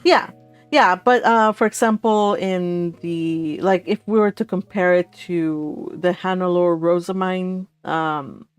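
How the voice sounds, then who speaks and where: A woman's voice: fairly low pitch, slow, clean tone, no echo, soft background music. A woman chatting casually and giggly as host of a book club read-along. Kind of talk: casual chat.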